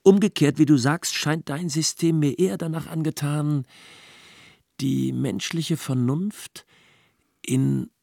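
An actor playing a sceptical, courteous humanist scholar in a German radio drama, questioning in a measured and precise way. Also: male voice, a little high, gravelly, dark tone, no room sound, studio quality, heavily acted. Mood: sceptical, teasing. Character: sceptical, learned humanist friend, courteous and probing.

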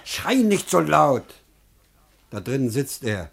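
An actor playing a crafty, excitable, boastful citizen of ancient Greece, declaiming theatrically in a classic German radio comedy. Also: male voice, mid-range voice, takes it slowly, creaky, slightly rough, exaggerated voice. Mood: secretive, defensive. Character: crafty, excitable Greek citizen, gleeful new-rich braggart.

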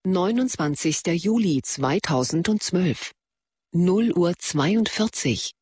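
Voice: mid-range voice, animated, slow, darkish tone, slight background noise. A performer playing an impersonal social media announcer in a radio drama, stating figures and dates in a clipped, matter-of-fact way.

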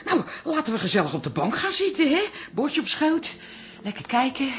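An actress playing a middle-aged, chummy family friend in a radio comedy-drama, eager and gushing in a cosy way. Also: female voice, sits high, sing-song, moderate pace.

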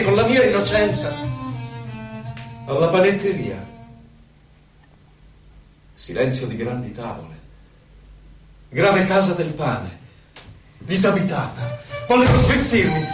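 An actor playing a melancholy, haunted poet who recalls his life in solemn, deliberate theatrical verse with dramatic pauses. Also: high-pitched. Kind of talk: theatrical poetry recitation. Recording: echoey hall from far off, faint music. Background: faint music, violin.

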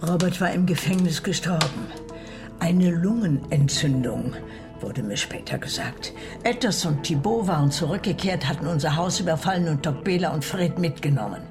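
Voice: medium pitch, animated, close to an everyday voice. Actor as a sad devout wanderer, radio drama, solemn careful telling, music behind. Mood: grieving. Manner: solemn, measured storytelling. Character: sorrowful, devout adult searching for lost family. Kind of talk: German radio play acting. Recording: music bed under the voice.

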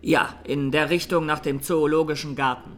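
A man playing a traumatised, earnest young Armenian student giving plain, deliberate testimony as a defendant in a radio drama. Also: fairly high pitch, fairly even intonation, slight background noise, natural voice. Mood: grave, matter-of-fact.

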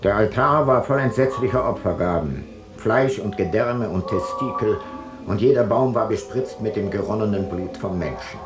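Low-voiced ancient seafarer played by a man, roomy. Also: normal speed, natural voice. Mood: grave. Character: ancient male seafarer recounting his expedition logbook. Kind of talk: scripted literary radio-play narration. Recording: roomy sound with music. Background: music.

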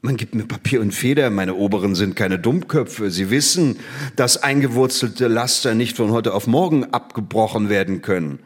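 An actor playing an old, half-blind captive scientist in a stage drama, speaking in deliberate, ironic, measured phrases. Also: male voice, fairly low pitch, natural voice. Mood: guarded, wry.

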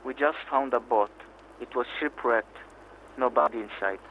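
An actor playing a formal, sympathetic Sicilian police officer in a radio drama. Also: male voice, mid-range voice, unhurried, a bit bright, a little hiss or hum, poor audio. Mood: grave, sympathetic. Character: apologetic male Sicilian police officer on the phone. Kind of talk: naturalistic radio drama acting.

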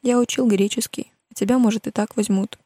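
A woman's voice: mid-range voice, steady tone, fairly quick, dark tone, no echo, natural voice. A woman voicing a thoughtful, questioning teenage boy in an audiobook, in colloquial speech. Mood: eager.

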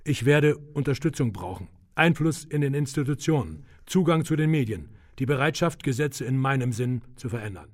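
An actor in a radio drama playing a menacing, condescending clinic director who speaks deliberately and with revolutionary zeal. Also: male voice, mid-range voice, animated, close to an everyday voice. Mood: coolly threatening. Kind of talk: German radio drama acting.

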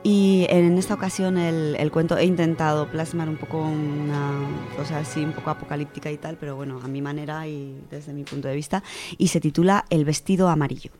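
A low-pitched woman chats on a radio show. She talks in a lively way, with fillers and frequent laughs. Faint music plays underneath. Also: brisk. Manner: chatty, animated, laughs often, many fillers. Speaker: radio co-host presenting a literature section. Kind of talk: casual radio chat and story reading.

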